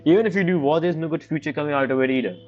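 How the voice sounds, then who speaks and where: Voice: mid-range voice, racing, dull audio. A game streamer giving casual, blunt, scoffing commentary in informal, loosely grammatical speech. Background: game music.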